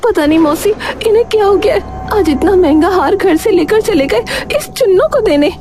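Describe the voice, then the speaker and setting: Woman acting a shrill, agitated village wife. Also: lively intonation, normal speed, dark tone, soft background music. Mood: distressed. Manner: shrill, scolding, questioning.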